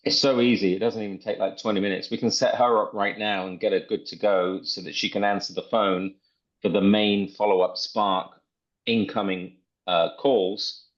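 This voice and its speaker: A man presenting a software demo in an informal, step-by-step tutorial style. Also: lowish voice, fairly even intonation, moderate pace, dull audio. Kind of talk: casual screen-share tutorial walkthrough.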